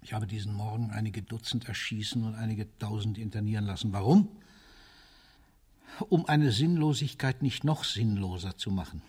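An actor playing a world-weary, cynical military ruler in a radio drama, speaking in a bitter, deliberate, rhetorical way. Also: male voice, lowish voice, a little mellow, husky. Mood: brooding, resigned. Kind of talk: theatrical radio drama monologue.

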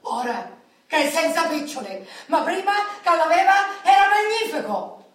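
Devoted southern italian lady, super high plaintive voice, lamenting in dialect, radio drama, big echo. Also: big pitch swings, normal speed. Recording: very echoey hall, distant mic.

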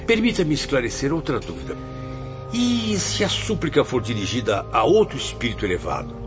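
A man's voice: medium pitch, huge pitch swings, a little fast. A man acting as the humble, remorseful soul of a suicide in a radio drama, speaking earnestly and reverently in formal phrases. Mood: earnest questioning. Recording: dull lo-fi audio with music behind.

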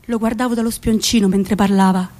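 A woman playing a warm old rural grandmother who recalls her youth in folksy central Italian dialect, in a theatrical monologue. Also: medium pitch, steady tone, a little fast, pitched below the performer's own voice, close to an everyday voice. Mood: hushed recollection. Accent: central Italian, Roman area.

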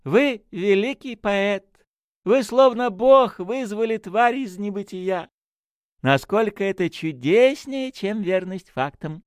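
A man voicing an excitable, apologetic little priest in an audiobook, with a flustered, gushing way of exclaiming. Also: sits high, moderate pace, few pauses, no room sound, clean studio sound, voice pushed up. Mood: delighted, insistent. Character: excitable, apologetic, stubby little male priest with childlike wonder. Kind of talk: audiobook character acting.

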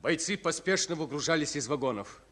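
An actor narrating a Soviet wartime radio play in a grave, deliberate, declamatory manner. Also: male voice, on the high side, expressive. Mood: solemn. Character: solemn narrator of a wartime epic. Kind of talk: solemn dramatic scripted narration of a Soviet war radio play. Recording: old radio play, loud music behind. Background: music.

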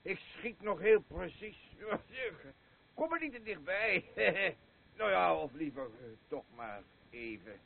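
A muffled radio play recording of a boastful old war veteran, drunk and crude. His voice is husky and fairly high, lurching up and down as he blusters, halts and rambles. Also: big pitch swings, full character voice. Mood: rambling. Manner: slurring, rambling, halting, blustering.

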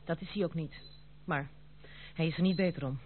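A woman's voice: sits low, fairly quick, lightly acted. An actress in a radio play playing a strong-willed young noblewoman, formal, firm and reproachful. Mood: troubled. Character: strong-willed, stern young noblewoman protecting her household. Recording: tinny, phone-like old radio play, slight hum.

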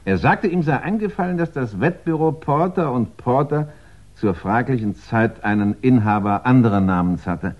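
A man's voice: medium pitch, animated, normal speed, lightly acted. An actor playing a dutiful, matter-of-fact male police detective in a 1960s German radio crime play. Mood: businesslike. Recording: dull 1960s radio recording.

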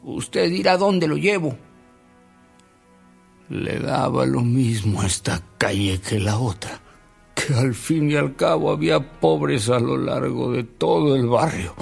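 Radio drama role: repentant older man, humble raconteur, heavy creak, husky, unhurried delivery. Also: mid-range voice, soft background music, full character voice. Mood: fond, animated. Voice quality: gravelly, husky. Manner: hesitant, savouring, acts out quoted dialogue. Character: humble, repentant older gentleman telling fond anecdotes.

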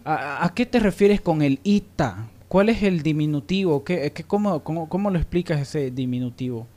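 A man's voice: fairly high pitch, expressive, moderate pace, mellow. A man hosting an unscripted radio panel, playful and probing, repeating words for emphasis. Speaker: radio talk show host.

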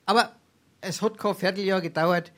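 An actor playing a boozy, penniless charmer in a Darmstadt dialect stage comedy, florid and ingratiating, with some stammering. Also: male voice, high-pitched, steady tone, moderate pace, fairly bright tone. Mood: mock mournful. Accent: Darmstadt Hessian. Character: boozy, penniless, wheedling charmer, a theatrical scrounger.

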